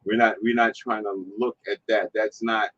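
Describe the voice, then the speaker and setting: A man's voice: on the low side, level tone, normal speed, lo-fi sound, background noise. A man hosting a casual chat, laid-back and fond of repeating his agreement. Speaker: host.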